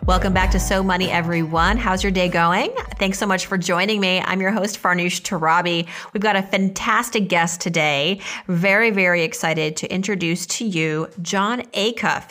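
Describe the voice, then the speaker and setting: A woman's voice: lowish voice, a bit bright. A woman speaking as a podcast host, introducing and interviewing guests in an upbeat style.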